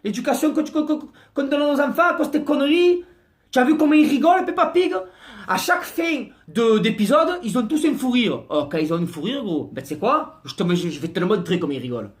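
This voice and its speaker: A man's voice: very high voice, sing-song, fast talker. A man doing a slangy, exasperated comic rant to camera. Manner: slangy, exasperated, mock-outraged. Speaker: comic vlogger.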